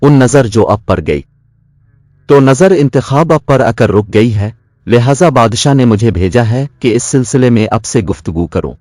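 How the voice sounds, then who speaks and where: A man narrating a scripted moral story as a storyteller, in a measured, earnest way. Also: mid-range voice, expressive, warm tone, no room sound, studio quality.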